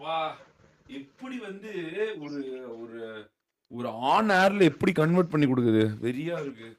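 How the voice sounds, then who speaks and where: A man with a fairly high, very animated voice hosts a casual gaming stream. He is excitable and often repeats his phrases. His pitch swings widely as he chats. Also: a little slow. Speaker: live stream host.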